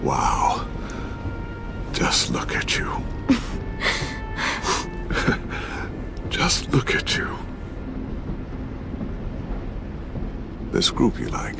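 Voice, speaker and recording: An actor playing a gentle fatherly mentor in a game, with an American accent, tender, reassuring and gravelly. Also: mid-range voice, huge pitch swings, drawn-out words, pauses often, over music, full character voice. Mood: proud, tender. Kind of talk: game voice acting, intimate dramatic dialogue. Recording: game audio with clear ambient noise.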